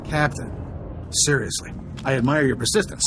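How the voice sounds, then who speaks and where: A man's voice: medium pitch, steady tone, normal speed, vocal fry, close to an everyday voice. A male actor playing a smug, roguish thief in a sci-fi video game, glib and sarcastic, with noisy ambience behind him. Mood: smug, mocking. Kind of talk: video game cutscene acting.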